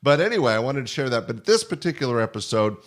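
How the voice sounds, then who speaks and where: A man's voice: mid-range voice, very animated, fast talker. An older man hosting a podcast in a conversational interview style, speaking in an earnest, rambling way. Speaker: podcast host.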